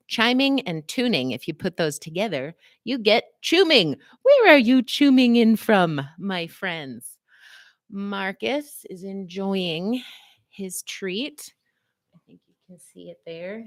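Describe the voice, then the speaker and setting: Brief: middle-aged American woman, livestream host, low-ish voice, dry close sound, playful chatter. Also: dry recording. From United States. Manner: chatty and playful, greets viewers by name, swoops up high on greetings. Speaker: livestream host, physical therapist. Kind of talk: casual live-stream chat. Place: small office.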